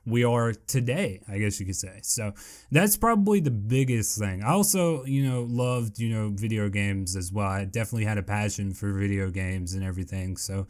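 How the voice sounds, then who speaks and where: Young male gaming vlogger, deep voice, loose and rambling delivery. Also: animated, normal speed. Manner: rambling, heavy with fillers and "I guess you could say". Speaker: gaming YouTuber answering viewer questions. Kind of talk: casual Q&A chat.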